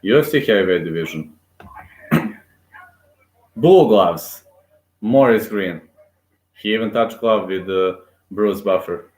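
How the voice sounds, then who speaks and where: A man giving live play-by-play commentary on a fight, excitable and cheering aloud, with frequent fillers and restarts. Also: on the low side, takes it slowly, long pauses. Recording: echoey room.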